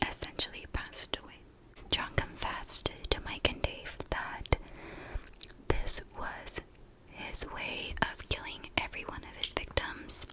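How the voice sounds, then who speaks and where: A woman whispering a true crime story in a soft, breathy ASMR style, unhurried and informal, like chatting to viewers. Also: rough recording. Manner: unhurried, informal, conversational narration.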